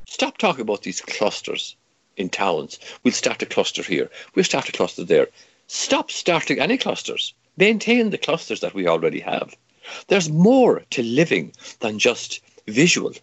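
A man's voice: medium pitch, wildly swooping pitch, moderate pace, slightly rough, no echo, muffled recording. Impassioned older Irish man, stammering. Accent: Irish, Kerry. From Ireland. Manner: emphatic, stammering restarts, rhetorical questions. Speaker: guest, campaigner. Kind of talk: impassioned interview argument.

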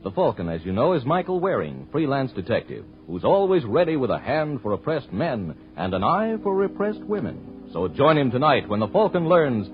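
Muffled vintage radio, music behind, male announcer, animated scripted delivery. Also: mid-range voice, moderate pace, few pauses.

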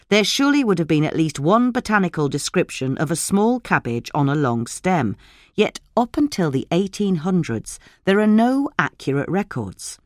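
Woman narrating with lively, varied intonation. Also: low-pitched, no room sound, studio quality. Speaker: narrator reading a magazine article. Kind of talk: scripted narration.

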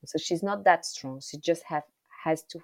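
Low-voiced woman, halting speech. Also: a little mellow, no room sound. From Rwanda. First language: Kinyarwanda. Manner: halting, repeats words as she searches for them. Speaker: author, guest. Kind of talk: interview answers about her novel.

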